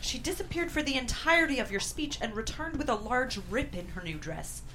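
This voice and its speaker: A woman's voice: sits high, very animated, fairly quick. A woman playing an exasperated, disapproving society wife and mother, dry and pointed, at a table read. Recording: clearly noisy room.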